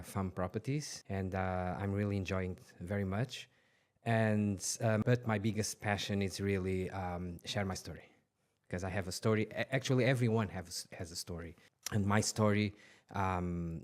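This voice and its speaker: A man in his forties from Portugal, a podcast guest telling his life story in an earnest, halting way with many restarts. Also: low voice.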